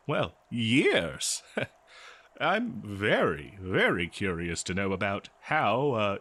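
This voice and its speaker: A man playing a courteous, eager and nervous scholar in a fantasy audio roleplay, speaking politely and haltingly to the listener. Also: mid-range voice, fairly bright tone, slightly rough, heavily acted. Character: eager, nervous, courteous male human professor of ancient civilizations.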